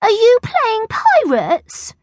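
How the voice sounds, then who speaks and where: A woman's voice: extremely high pitch, wildly swooping pitch, takes it slowly, a little mellow, dry recording, pitched above the performer's own voice, heavily acted. A woman voicing a stubborn, eager little girl in a children's story, trilling and insistent. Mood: sweet, eager. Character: stubborn, lovestruck little girl wanting to join in.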